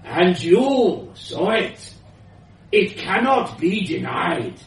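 A man voicing an elderly, eccentric woman scientist with a powerful voice, a foreign accent and halting, grandiose, bitter delivery in a story. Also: high voice, very animated, voice pushed up, heavily acted. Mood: fervent. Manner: halting non-native grammar, grandiose, declaiming. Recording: roomy, distant mic with hiss.

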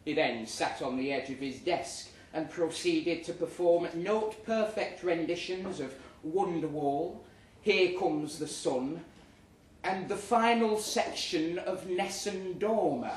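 A man reads a comic story aloud to a live room, slightly high in pitch and unhurried. His delivery is theatrical, sardonic and indignant, and the recording is distant and echoey. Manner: performs the narrator as indignant and sardonic. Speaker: story reader. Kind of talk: dramatic comic short story reading to a live audience. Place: live reading event in a pub. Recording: echoey, noisy pub room, distant mic.

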